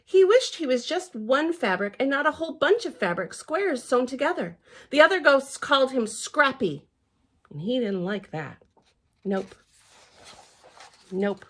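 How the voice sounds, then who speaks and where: Female children's storyteller: slightly high, hugely varied pitch, expressive read-aloud with chatty asides. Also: unhurried, pauses often. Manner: theatrical and expressive, with gasps and hushed asides.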